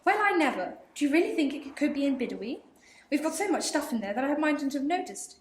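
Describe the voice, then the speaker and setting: House-proud wife, chatty and fussy, played by a young actress; echoey room. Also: female voice, high voice, very animated, fast. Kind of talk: amateur radio play acting. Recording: roomy echo, faint hiss.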